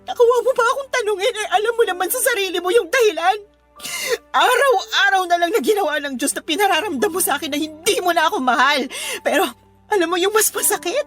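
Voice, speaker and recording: A woman's voice: extremely high pitch, animated, quick pace. A woman playing an aggrieved, suspicious young wife and mother in a Filipino radio drama, tearful and accusing, with a Filipino accent. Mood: hurt, tearful. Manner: tearful, accusing, emotional delivery. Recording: music playing behind the voice.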